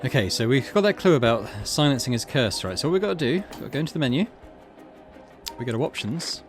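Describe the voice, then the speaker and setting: A man's voice: mid-range voice, very animated, fast talker, soft background music. A man giving casual let's play commentary, musing and thinking aloud in questions. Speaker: gaming video host.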